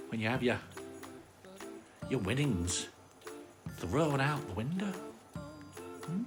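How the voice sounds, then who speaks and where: A man's voice: sits high, heavily acted. Man voicing a swooping, chatty British armadillo puppet. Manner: cosy, chatty, repeats phrases. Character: friendly chatty armadillo puppet, long-suffering bedtime storyteller. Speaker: host of children's live story show. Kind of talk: casual children's livestream chat.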